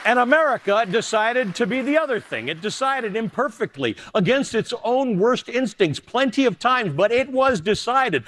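A man's voice: very high-pitched, fairly bright tone. A man raised in Canada, a news anchor giving an impassioned speech to a live audience, building to rousing peaks.

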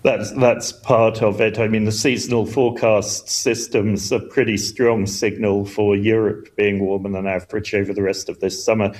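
A man's voice: fairly low pitch, level tone, normal speed, hardly any pauses, a little mellow. A man speaking as an expert guest in a news interview, explaining earnestly and emphatically, often repeating words as he goes. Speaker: climate scientist, expert guest.

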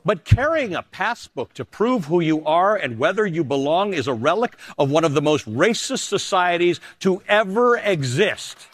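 A middle-aged man, originally from Canada, anchors a news segment with emphatic, rising delivery. His voice sits on the higher side for a man, and he sinks lower and quieter for personal asides. The recording is close and dry. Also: big pitch swings, normal speed, few pauses, no echo. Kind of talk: televised political commentary and personal essay.

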